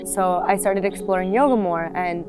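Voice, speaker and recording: A woman's voice: medium pitch, moderate pace, low-quality recording. A woman in her twenties from South Florida, reflectively telling her life story in an interview, with many fillers and restarts. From South Florida, United States. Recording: music playing behind the voice.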